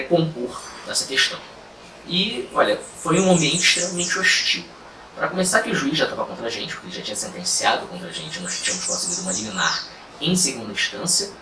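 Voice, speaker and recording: A man from Rio, a lawyer recounting a case in an easygoing, chatty way, pausing often on fillers. He sits back from the mic in a reverberant room, with a steady noise bed audible behind his voice. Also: mid-range voice. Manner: relaxed, conversational, frequent fillers and asides. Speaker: lawyer telling a case story. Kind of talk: casual storytelling to camera. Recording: roomy, distant mic, clear background noise.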